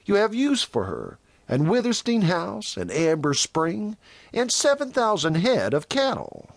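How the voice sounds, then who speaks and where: A man playing a high-pitched, defiant young cowboy. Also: wildly swooping pitch, unhurried, gravelly, no echo, heavily acted. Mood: taunting. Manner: passionate, taunting, high-strung. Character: defiant, proud young outcast cowboy, passionate and bitter. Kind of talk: audiobook character dialogue in a western.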